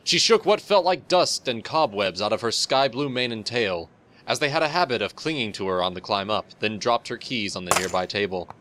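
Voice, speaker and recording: A man giving an expressive scripted narration of a story, as in an audiobook. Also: fairly low pitch, fairly quick, a little hiss or hum. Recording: music playing behind the voice.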